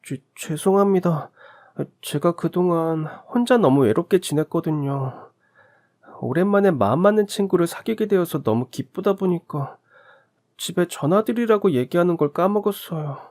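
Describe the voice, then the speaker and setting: A man's voice: high-pitched, animated, moderate pace, darkish tone, pitched above the performer's own voice. A man voicing a lonely, polite young boy in a radio drama story, speaking earnestly and apologetically. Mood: apologetic.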